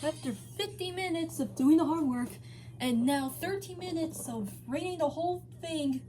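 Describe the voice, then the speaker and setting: A woman's voice: high-pitched, moderate pace, mellow, faint music behind. A woman hosting a dramatic fan fiction reading, theatrical but casual, sometimes stumbling over words. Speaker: story reader, channel host.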